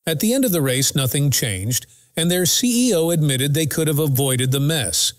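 A man's voice: on the high side, expressive, normal speed, dry recording, clean studio sound. A man reading a scripted race recap as the narrator of a motorsport news channel.

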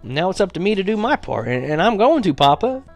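A man's voice: mid-range voice, moderate pace, gravelly, faint music behind, pitched above the performer's own voice, lightly acted. A man reading aloud and voicing a determined young farm boy who pleads earnestly. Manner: earnest, pleading, raises voice when insistent.

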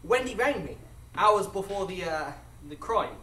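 A man's voice: high voice, animated, unhurried, bright, forceful tone, full character voice. Man as a nervous, earnest brother, echoey. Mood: hesitant, apologetic. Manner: earnest, hesitant, deferential. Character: nervous, polite, apologetic younger brother. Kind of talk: live radio drama acting. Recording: echoey live stage, distant, faint noise.

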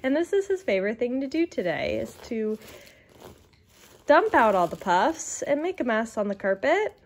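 A young American woman chatting casually to her vlog as a mother, recorded at home in a living room on a handheld camera. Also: mid-range voice. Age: young adult. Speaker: vlogging mother of young children.

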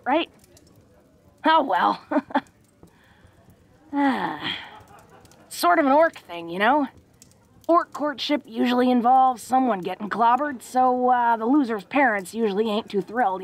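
A woman playing a tough but awkward, affectionate young orc woman in an intimate roleplay audio drama, talking in a folksy, halting way. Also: a little high, lively intonation. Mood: sheepish, teasing. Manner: folksy, halting tough-girl talk with small chuckles.

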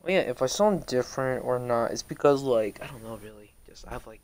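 A man's voice: mid-range voice, expressive, fairly quick, darkish tone. Young man mumbling through a game stream. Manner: rambling, mumbly, swears freely, many fillers. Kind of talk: casual gaming livestream commentary.

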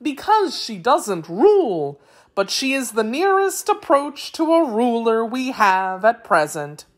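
Storybook creature, male, played by a woman: very high, anxious, pleading, excitable, slow delivery. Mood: anxious explaining. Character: fearful, tearful, eager male wheeled creature. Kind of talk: children's storybook character voice.

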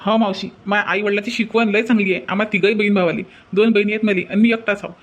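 A humble, honest young cartoon villager played by a man, with a high voice for a man. He speaks very fast, in plain rustic Vidarbha Marathi. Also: fairly even intonation, a bit bright. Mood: modest, polite. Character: honest, humble young working man returning lost gold. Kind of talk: comedy cartoon dialogue.

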